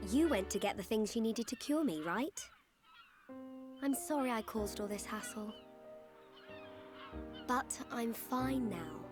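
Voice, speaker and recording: Casting: a gentle, devoted young heroine for a game, bright and higher voice. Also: female voice, lively intonation, a little slow, long pauses, music in the background. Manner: warm and devoted.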